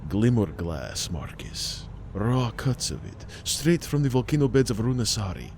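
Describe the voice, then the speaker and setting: A man's voice: fairly low pitch, big pitch swings, measured pace, a little mellow, a little hiss or hum. A man voicing a coaxing immigrant salvage boss in an audio story, dramatic and drawn out in his emphasis. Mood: conspiratorial. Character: wheedling, gold-toothed salvage boss, male immigrant sailor.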